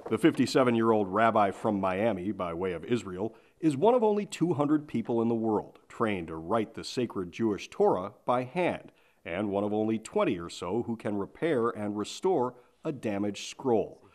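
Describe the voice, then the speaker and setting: A male TV reporter reading scripted news narration in a measured, formal way. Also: on the low side, lively intonation, darkish tone.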